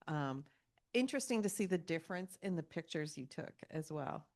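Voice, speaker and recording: A woman with a fairly low voice speaks up from the audience at a meeting. Her comments and questions are informal, warm and encouraging. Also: animated, moderate pace.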